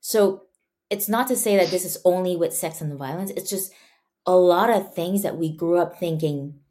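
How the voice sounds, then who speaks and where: A woman from Malaysia talks as an interview guest, her voice sitting a little low. She tells her stories with animation and slips into the voices of scolding adults as she quotes them. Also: a little mellow. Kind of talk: conversational interview.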